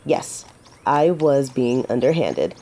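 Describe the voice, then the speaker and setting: A woman's voice: rumbling low, very animated, takes it slowly, mellow, a little hiss or hum, heavily acted. A woman playing a gallant, teasing yet earnest female knight in a romantic fantasy audio drama, with formal, old-fashioned phrasing. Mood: playful, teasing.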